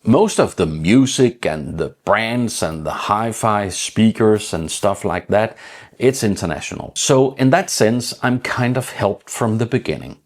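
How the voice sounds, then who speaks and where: A middle-aged man from Denmark with a Danish accent, explaining his channel strategy in an informal vlog, measured and plain, with some slightly broken phrasing. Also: on the low side. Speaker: channel host.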